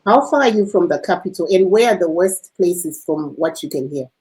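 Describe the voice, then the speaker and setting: A woman hosting a radio interview, asking measured questions with occasional uh fillers. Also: on the low side, lively intonation, darkish tone, low-quality recording. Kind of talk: broadcast interview.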